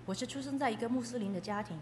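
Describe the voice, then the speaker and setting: A woman interprets a testimony phrase by phrase at a fast pace. Her pitch is medium, and her voice carries the reverb of a hall, with faint noise behind it. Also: a little mellow. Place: echoey hall.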